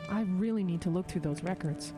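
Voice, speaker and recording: An actress playing a sharp-minded, determined woman in an audio drama, pointed and insistent. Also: fairly low pitch, fairly quick, over music, lightly acted.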